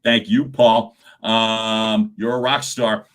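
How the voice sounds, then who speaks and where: Loud, animated middle-aged male coach, bright forceful voice, talks straight to a live audience. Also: slow. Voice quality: loud speaking voice. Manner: animated, swings pitch and volume, chuckles often. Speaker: communication coach hosting a live stream. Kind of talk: live-streamed coaching talk.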